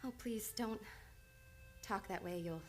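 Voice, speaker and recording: A woman's voice: medium pitch, unhurried, faint music behind, lightly acted. An actress playing an earnest, reserved woman psychiatrist in an old-time radio drama, speaking formally and precisely. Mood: nervous, confessing. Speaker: radio play actor. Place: radio studio.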